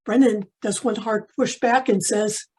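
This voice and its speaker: A woman's voice: mid-range voice, steady tone, measured pace, warm tone, husky. A woman reading stage directions as scripted narration.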